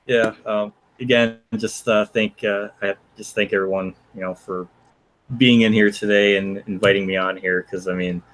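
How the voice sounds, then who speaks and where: Low-voiced hesitant young man. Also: fairly even intonation, moderate pace, fairly bright tone, poor audio. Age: 20s. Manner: hesitant, full of "like" and fillers. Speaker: guest. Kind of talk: casual podcast chat.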